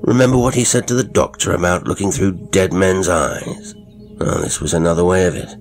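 A man voicing a gruff, impatient older country squire. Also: low voice, fairly quick, faint music behind.

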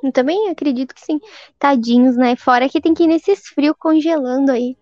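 A bright, high-pitched young woman in her early twenties speaks with a Paraná accent, cheerful and quick to giggle. Her voice comes through dry and slightly dull, like remote call audio. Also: no room sound, faint music behind. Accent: Paraná, Brazil. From Palmas, Paraná, Brazil. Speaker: podcast guest. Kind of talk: casual chat.

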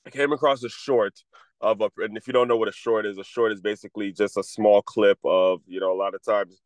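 Congested man, low dry voice. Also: steady tone, brisk, dry recording. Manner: rambling with frequent restarts. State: congested, under the weather. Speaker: podcast host. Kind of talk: casual solo talk, advice to athletes.

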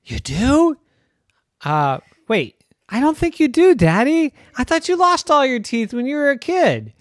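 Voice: high-pitched, very animated, unhurried, no echo, clean studio sound, voice pushed up. A parent storyteller voicing cheeky young children in a teasing, know-it-all manner.

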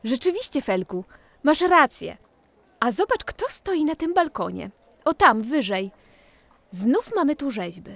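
A woman's voice: sits high, very animated, measured pace, vocal fry, no room sound, full character voice. A woman playing a curious, bookish young girl in a children's audio play, eagerly explaining things like a big sister. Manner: eager, explanatory, teaching her brother.

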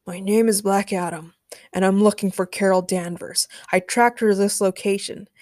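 A woman voicing a cool, aloof male comic-book villain in a story, measured and haughty. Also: mid-range voice, dry recording, clean studio sound, lightly acted.

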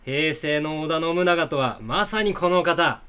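A man playing a flattering hype-man sidekick in a comedy radio drama, with showy, announcer-like delivery. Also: a little high, measured pace, clean tone. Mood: hyped.

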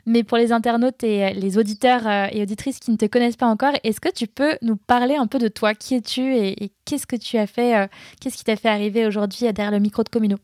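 A woman's voice: a little high, fairly even intonation, fast, darkish tone, no room sound, clean studio sound. A woman hosting a podcast interview in an enthusiastic, conversational way. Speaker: podcast host.

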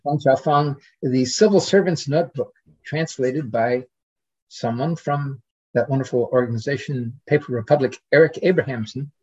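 A man speaking as a scholarly podcast guest in casual discussion, halting as he searches for names and words. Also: medium pitch, a little mellow, breathy edge, highs cut off.

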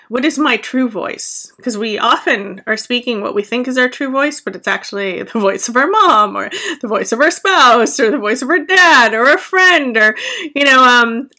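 A middle-aged woman hosts her own podcast in an earnest, reflective solo monologue. Her voice sits a little high, with a bright, sharp edge. She rambles along, full of fillers and restarts. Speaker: podcast host and business coach.

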